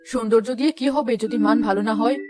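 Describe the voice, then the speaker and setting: A woman's voice: on the high side, racing, over music, natural voice. A woman voicing an exacting middle-aged village customer in a moral cartoon, speaking frankly, firmly and politely. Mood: disappointed. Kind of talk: moral-story cartoon dialogue.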